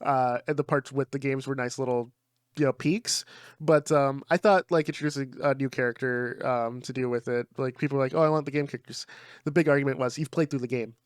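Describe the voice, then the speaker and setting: A man co-hosting a casual podcast, swearing freely, laughing often and talking excitedly. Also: medium pitch, fairly quick, no room sound, studio quality. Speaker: podcast co-host. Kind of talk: casual chat.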